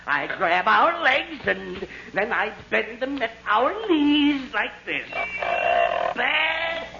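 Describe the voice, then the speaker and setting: A man's voice: very high voice, huge pitch swings, drawn-out words, vocal fry, full character voice. A man acting a pompous, patronising doctor in an old-time radio comedy, blustering and fussing over his patient. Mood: briskly cheerful. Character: pompous, fussy male doctor, cheerfully brutal masseur. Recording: dull noisy vintage radio recording.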